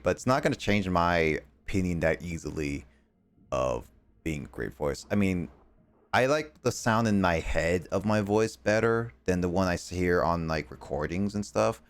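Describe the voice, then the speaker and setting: A young American man streaming games, talking casually in halting, rambling bursts full of fillers and restarts. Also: sits low, animated, smooth. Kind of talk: casual chat while gaming.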